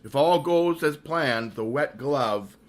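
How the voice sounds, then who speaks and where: A man playing a stern, self-assured super sleuth with a commanding, deliberate delivery in a radio mystery. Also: medium pitch, animated, background noise. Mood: confident.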